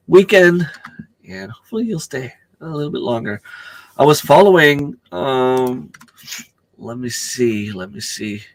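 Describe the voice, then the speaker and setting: A middle-aged man with a hoarse voice from a cold, chatting casually on a home live stream and greeting viewers by name. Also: mid-range voice, a little hiss or hum. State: losing his voice from a cold. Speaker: channel host.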